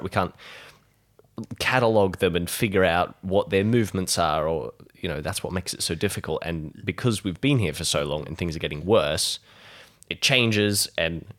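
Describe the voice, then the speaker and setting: A man's voice: deep voice, a bit bright. A man playing a weary, wary survivor in a tabletop roleplay, dry and sardonic with hesitant phrasing.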